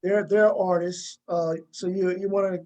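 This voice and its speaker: A man's voice: sits high, little pitch movement, measured pace, warm tone. A man hosting a casual group interview, speaking in an encouraging, supportive way. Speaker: host leading a cast discussion.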